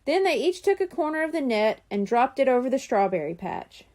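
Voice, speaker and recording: Woman, children's story narration, high pitch, expressive intonation, scripted read-aloud. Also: fairly quick. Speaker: audiobook reader.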